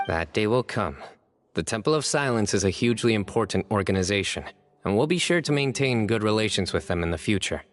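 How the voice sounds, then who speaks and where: A man voicing a composed, courteous young man of authority in a video game, measured, formal and dry. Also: on the low side, expressive, faint music behind, natural voice. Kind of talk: English video game voice acting.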